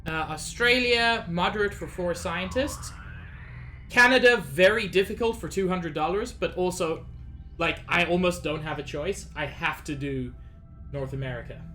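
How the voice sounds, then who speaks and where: A woman's voice: on the low side, moderate pace, pushed and bright. A slightly sick woman streaming a game, chatting anxiously with her viewers in casual commentary. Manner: chatty, anxious, talks to chat. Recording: faint game music behind.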